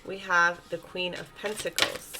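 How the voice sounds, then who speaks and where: A woman with a stuffy, congested nose giving a gentle, reassuring spiritual tarot reading and often checking in with her listeners. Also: lowish voice, little pitch movement, measured pace, very noisy. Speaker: tarot reader.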